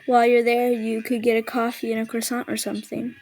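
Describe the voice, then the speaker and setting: A woman playing a patient, nudging mother in an audio drama, speaking in a gently chiding, coaxing manner. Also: fairly high pitch, completely flat delivery, a little fast, lightly acted. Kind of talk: naturalistic audio drama acting.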